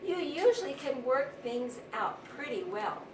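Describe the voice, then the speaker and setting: A woman's voice: high-pitched, very animated, unhurried. A woman teaching young children in a read-aloud lesson, asking questions and prompting them to repeat words. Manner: didactic, asks questions and prompts repetition. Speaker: primary school teacher. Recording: roomy, distant mic, faint noise.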